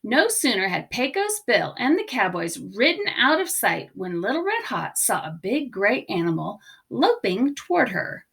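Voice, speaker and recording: A woman narrates a storybook for children with expressive emphasis. Her voice is bright and fairly high, and her pitch moves around a great deal. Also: normal speed, vocal fry, hardly any pauses. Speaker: storybook reader. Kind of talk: children's read-aloud narration.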